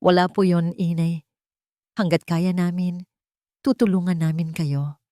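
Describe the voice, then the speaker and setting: A woman voicing a kind, devout young mother in an audio story, speaking gently and respectfully. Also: lowish voice, little pitch movement, measured pace, dry recording, studio quality, natural voice. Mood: gentle concern. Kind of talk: audio story character dialogue.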